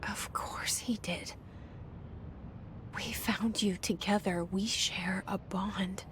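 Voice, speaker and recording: An actress playing a guarded, haunted young woman who has just become director, talking quietly and often whispering her inner thoughts in a game cutscene. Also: medium pitch, steady tone, slow. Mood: whispered. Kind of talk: video game cutscene acting.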